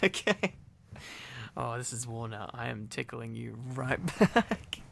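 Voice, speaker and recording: Needy silly boyfriend, acted by a man, ASMR roleplay, affectionate teasing, unhurried rambling, creaky voice. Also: medium pitch, lively intonation, fairly bright tone, a little hiss or hum, heavily acted. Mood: giggly, playful.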